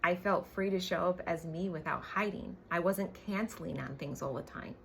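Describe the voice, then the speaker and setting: A woman in her forties with a fairly low voice speaks candidly and emphatically, as in a personal vlog. She checks in with the listener as she tells her story. Some noise sits behind her. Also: normal speed, gravelly, a bit bright. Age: 40s. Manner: candid, emphatic, frequent tag questions and swearing. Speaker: business coach.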